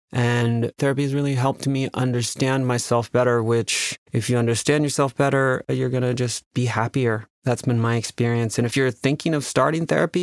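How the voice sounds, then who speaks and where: A man hosting a podcast talks through a sponsor message in a personal, conversational way. His voice is medium in pitch, recorded dry with no room around it. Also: smooth, dry recording. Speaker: podcast creator and host reading sponsor.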